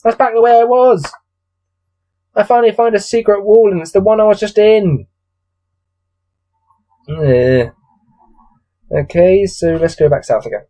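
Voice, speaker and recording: A man with a mellow, relaxed tone pitched a little above average reads a gamebook aloud. He slips between narration and casual commentary, sounding bored and exasperated as he grumbles along. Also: unhurried, pauses often, poor audio. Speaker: host reading a gamebook aloud.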